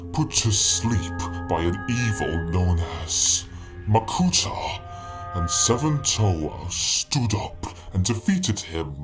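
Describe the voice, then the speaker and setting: A deep, slow cartoon villain, acted by a man ruling a robotic realm. He is smug and menacing, theatrically polite, with music playing clearly behind him. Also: heavily acted. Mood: intrigued. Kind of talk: fan-dub cartoon villain acting.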